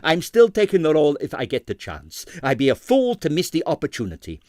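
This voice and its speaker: A man's voice: on the high side, wildly swooping pitch, fairly quick, a little mellow, no room sound, studio quality, pitched above the performer's own voice. A man voicing a cheerful, cocky and obstinate young male ballet dancer in an audiobook, with a breezy manner. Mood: cheerfully obstinate. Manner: breezy, stubborn. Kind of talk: audiobook character voice.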